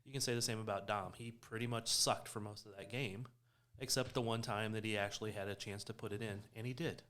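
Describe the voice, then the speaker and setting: A man's voice: on the low side, fairly even intonation, fairly quick, a bit bright. An American man in a casual sports discussion, speaking as a podcast panelist.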